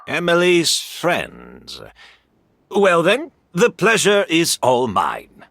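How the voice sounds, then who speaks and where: A man's voice: fairly high pitch, huge pitch swings, very slow, creaky, fairly bright tone, no echo, heavily acted. A man playing a genial, humble older master perfumer and mentor in a video game. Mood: delighted. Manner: genial, self-deprecating.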